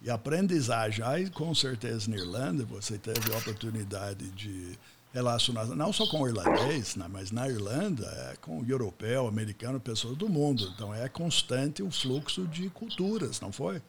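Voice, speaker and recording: A man's voice: mid-range voice, lively intonation, normal speed, fairly bright tone, a little hiss or hum. A man whose first language is not Portuguese, interviewing in a chatty way and often tagging his points with questions for agreement. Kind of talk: interview conversation.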